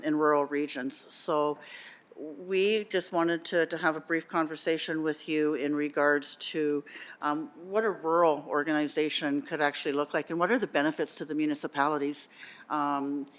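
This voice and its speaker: A woman delegate presenting to a council on behalf of a seniors' support group, earnest and filling pauses with "um", partly reading from notes, on a desk microphone in a council chamber. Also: low-pitched, fairly bright tone. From Canada. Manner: earnest, many "um" fillers, partly reading aloud.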